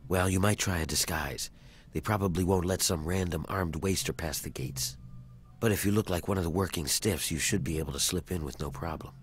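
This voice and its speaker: A man's voice: low voice, fairly quick, lightly acted. An actor playing a weary male fugitive in a video game who asks an outsider to help free his enslaved people, speaking urgently and persuasively with a wry edge. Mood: earnest. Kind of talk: video game character dialogue. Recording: game audio under faint noise.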